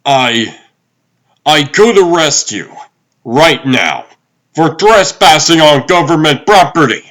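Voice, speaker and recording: A man's voice: a little high, lively intonation, slow, a bit bright. A man voicing a hot-tempered, exasperated police detective in a comic book dub, indignant and blustering.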